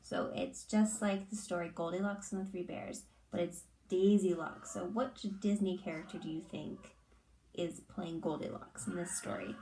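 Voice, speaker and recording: A woman's voice: mid-range voice, a little mellow, roomy sound, a little hiss or hum, poor audio. A woman reading a children's storybook aloud like a teacher, expressively and in simple phrasing for young listeners.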